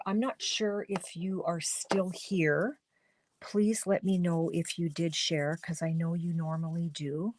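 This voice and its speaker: Woman, hoarse and froggy, gravelly low voice, unhurried chatty crafting talk. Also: darkish tone, no room sound. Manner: chatty, thinks aloud while coloring, greets viewers by name. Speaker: card-making live stream host. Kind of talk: casual live crafting demo talking to viewers.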